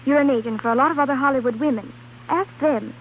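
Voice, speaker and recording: A woman's voice: sits high, fast talker, natural voice. A woman playing a sensible, know-it-all Hollywood secretary in a 1930s radio commercial, lecturing and persuasive. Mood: insistent, selling. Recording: tinny 1930s radio, faint hiss.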